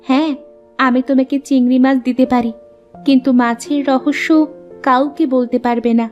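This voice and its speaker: Woman as a high-voiced imperious water fairy. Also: flat delivery, moderate pace, a little mellow, muffled recording, faint music behind, lightly acted. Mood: benevolent. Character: female water fairy, stern but benevolent guardian. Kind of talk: cartoon voice acting.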